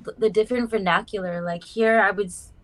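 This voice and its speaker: A woman's voice: mid-range voice, steady tone, fairly quick, a bit bright. A young woman from South Africa, now, chatting as a guest on a casual podcast and using lots of "like" and "um". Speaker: guest artist. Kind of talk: casual interview chat.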